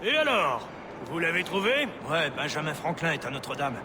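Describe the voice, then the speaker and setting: An actor playing a rough, urgent French pursuer in a video game, brusque in manner. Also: male voice, fairly high pitch, big pitch swings, brisk, noisy background. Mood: brusque impatience.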